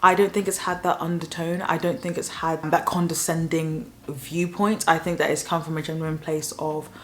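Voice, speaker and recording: A woman's voice: low-pitched, level tone, moderate pace, a little hiss or hum. A young woman from the south of England with a Southern English accent, giving frank, opinionated talk in a conversational vlog. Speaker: YouTuber.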